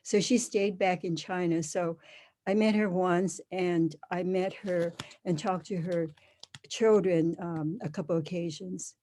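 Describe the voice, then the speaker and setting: A woman's voice: on the low side, fairly even intonation, unhurried, mellow. A woman taking part in an online group, casually sharing about herself.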